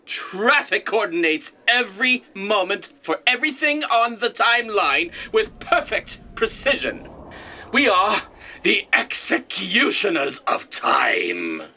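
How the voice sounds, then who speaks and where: Pompous many-legged alien boss, piercing and slow, drawn-out theatrical commands, sci-fi comedy audio drama, faint noise behind. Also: sing-song, bright, forceful tone, exaggerated voice. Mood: haughty. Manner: theatrical, imperious, sarcastic, booming commands. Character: pompous, exacting alien traffic director with a thousand legs.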